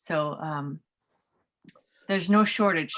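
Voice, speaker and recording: Gracious low-voiced woman moderator. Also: takes it slowly, fairly bright tone. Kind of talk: moderating a panel.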